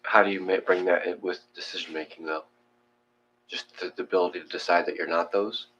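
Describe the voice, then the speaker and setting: A man with a very low voice talks casually and reflectively, halting as he works out his thoughts. The sound is slightly muffled, with a hum behind him. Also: fairly even intonation, fairly bright tone. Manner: halting, thinking aloud, trailing off. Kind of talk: casual reflective group discussion.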